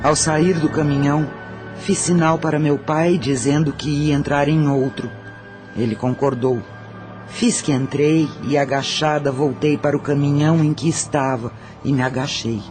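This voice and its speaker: A man's voice: fairly high pitch, steady tone, normal speed, a little mellow, soft background music. A man with a Brazilian accent narrating a scripted first-person audio story.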